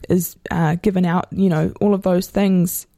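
A woman's voice: lowish voice, fairly even intonation, moderate pace, gravelly, warm tone, no echo, studio quality. A woman from New Zealand with a New Zealand accent, interviewing in a casual, reflective way, rambling with many restarts and hedges.

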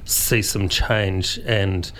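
Kiwi guy on the radio, real low voice, pretty monotone, rambles a bit. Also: very slow, slight background noise, poor audio. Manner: rambling, thoughtful, many fillers and restarts. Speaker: guest, food photographer and publisher. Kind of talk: radio studio interview.

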